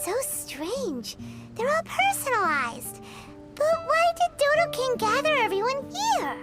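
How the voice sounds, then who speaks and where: A woman voicing a tiny, excitable fairy companion in a video game, with a squeaky childlike voice and a blunt, exclamatory manner. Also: takes it slowly, exaggerated voice. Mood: puzzled. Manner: refers to self in third person, exclamatory. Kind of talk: video game cutscene acting. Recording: music playing behind the voice.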